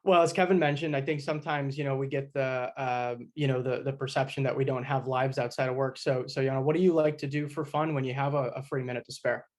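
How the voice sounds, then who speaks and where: A man co-hosting a podcast, speaking in a relaxed way with lots of fillers. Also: medium pitch, fast talker, few pauses. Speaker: podcast co-host.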